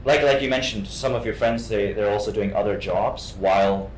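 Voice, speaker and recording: A man from Hong Kong giving an informal interview as a guest actor, halting and often repeating his words. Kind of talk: informal artist talk, answering questions. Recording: echoey room, faint rumbling noise.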